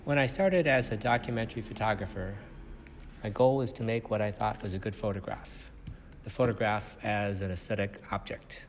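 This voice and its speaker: A man in his sixties with an American Midwestern accent, giving a prepared keynote talk as a photographer in measured, deliberate phrases. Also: fairly low pitch. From Minnesota, United States. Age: 60s. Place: indoor gallery, recorded on location. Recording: echoey gallery room with faint background noise.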